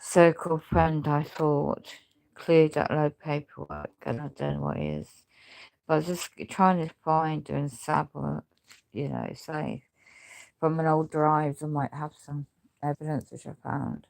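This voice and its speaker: A woman speaks in a very low, crackly voice, thick with a chest infection. Her delivery is slow and halting, short of breath, as if talking is an effort. She rambles through an aggrieved personal account in broken phrases. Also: expressive, gravelly, a little mellow. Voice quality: crackly, deep voice. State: ill with a lung infection, breathless, struggling to speak. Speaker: housing tenant speaking out. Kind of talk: rambling personal video testimony.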